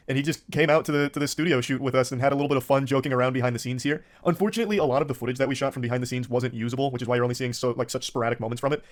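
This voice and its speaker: A man hosting with casual, breezy, amused commentary and plenty of swearing. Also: medium pitch. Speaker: host.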